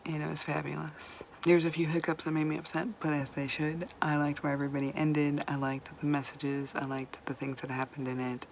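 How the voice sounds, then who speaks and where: Casual woman book vlogger, rambling and profane, with a gravelly edge to her voice. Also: deep voice, little pitch movement, brisk, few pauses, a little hiss or hum, poor audio. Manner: chatty, rambling, swears casually, drops to whispers. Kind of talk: casual book review chat.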